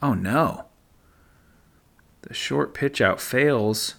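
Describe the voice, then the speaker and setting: A middle-aged man giving relaxed play-by-play commentary as a voice-over, easygoing and dry, thinking aloud as he goes. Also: mid-range voice, drawn-out words, gravelly. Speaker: sports commentator. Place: voice-over, not at the course.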